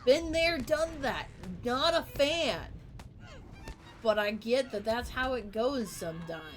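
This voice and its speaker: Slow, relaxed woman streamer, high voice. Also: soft background music. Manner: relaxed, chatty, talks to viewers and game enemies. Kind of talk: casual game stream chat.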